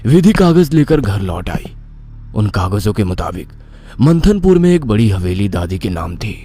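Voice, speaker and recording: A man's voice: fairly low pitch, big pitch swings, normal speed, mellow. A man narrating a scripted horror story in a dramatic style, as a storyteller. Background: prominent music.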